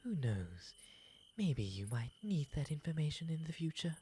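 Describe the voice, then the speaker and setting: A man voicing a gentle, courteous owl demon gentleman in an ASMR roleplay, breathy and often whispering, with polite, formal, soothing phrasing. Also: on the high side, normal speed, full character voice. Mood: courteous, friendly. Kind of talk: ASMR roleplay, intimate slow delivery. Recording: faint steady background ambience noise.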